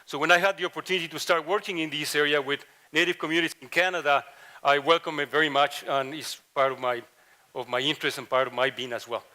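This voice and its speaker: Middle-aged Latin American-accented male professor, slightly high, bright voice, halting panel talk. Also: fairly even intonation. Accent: Latin American Spanish. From Mexico and Ecuador. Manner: halting, many fillers and restarts. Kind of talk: conference panel presentation.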